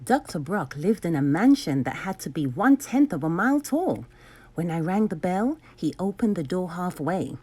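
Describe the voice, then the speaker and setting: A woman reading a children's storybook aloud expressively, enunciating clearly with dramatic emphasis. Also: lowish voice, big pitch swings, normal speed, a little mellow. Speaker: storybook reader.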